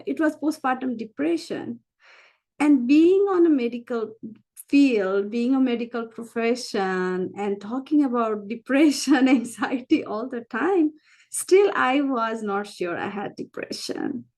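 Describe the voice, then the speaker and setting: A woman's voice: on the high side, expressive, unhurried, darkish tone. A woman from Nepal with a Nepali accent, giving a candid, conversational personal account as an interview guest, repeating phrases for emphasis. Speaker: interview guest, physician and founder.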